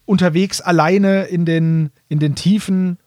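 A man's voice: high voice, fairly even intonation, a little slow, a little mellow, dry recording, clean studio sound. A man, a podcast co-host, explaining fantasy lore in casual chat.

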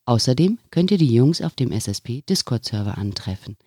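A man delivers a scripted podcast announcement. His voice is dark and husky, and his intonation moves around in a lively way. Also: mid-range voice, a little fast, no room sound, studio quality.

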